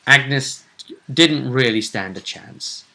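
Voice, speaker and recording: A man casually recapping a tabletop role-playing tournament as its host, telling it in halting bursts with dramatic repetition. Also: medium pitch, gravelly, pushed and bright. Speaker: host and game master.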